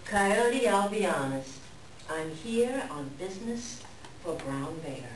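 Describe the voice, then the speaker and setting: A woman playing an earnest, scolding raven messenger in a staged folktale reading, speaking in a theatrical, deliberate, pleading way. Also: fairly low pitch, animated. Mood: solemn, urgent. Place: live reading in a library room. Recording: echoey room, distant mic, background noise.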